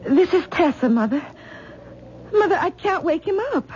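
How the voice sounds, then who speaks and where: An actress playing an anxious young wife who pleads urgently, heard in a dull 1970s radio drama broadcast. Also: female voice, extremely high pitch, expressive, normal speed, darkish tone, slight background noise.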